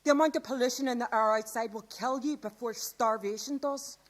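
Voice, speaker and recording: High-pitched female, acted, radio play; anxious scold sheltering from a poisoned city, exasperated and pleading. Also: fairly quick, lightly acted. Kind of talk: radio drama acting.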